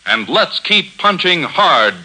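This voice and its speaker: A man's voice: on the high side, big pitch swings, takes it slowly, pushed and bright, rough recording. A man announcing in formal, emphatic 1940s radio style, declaiming a scripted sponsor message on a vintage broadcast recording.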